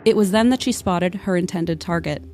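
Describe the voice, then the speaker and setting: A woman's voice: lowish voice, normal speed, a little mellow. A woman narrating a scripted comedic tale in a mock-dramatic, literary manner. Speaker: podcast storyteller. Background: music under the voice.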